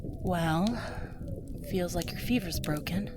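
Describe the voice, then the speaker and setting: A woman's voice: fairly low pitch, steady tone, slow pace, noisy background. A woman voicing a caring but firm tavern woman, motherly and soothing, in a fantasy roleplay audio drama. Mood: gentle, soothing. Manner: motherly, soothing, gently authoritative. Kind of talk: fantasy roleplay ASMR acting.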